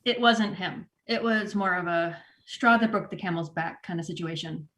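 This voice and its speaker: Woman playing a low-voiced, awkward, rambling store manager. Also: fairly bright tone, natural voice. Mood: sheepish. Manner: halting, awkward. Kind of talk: comedy table read.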